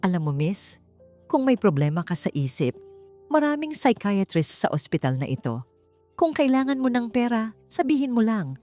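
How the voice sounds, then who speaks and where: A woman's voice: fairly high pitch, very animated, moderate pace, dark tone, voice pushed up. A cold, arrogant Filipino rich man, as a woman narrator plays him in an audiobook drama. He speaks with dismissive mockery and swinging intonation over faint music. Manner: mocking, dismissive.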